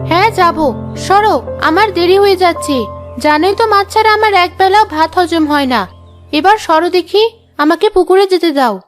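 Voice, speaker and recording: A woman's voice: very high voice, brisk, soft background music. A woman voicing a stubborn, scolding young village wife in a cartoon. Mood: stubborn, impatient. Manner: scolding, defiant. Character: feisty, stubborn village wife obsessed with fishing. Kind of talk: cartoon voice acting.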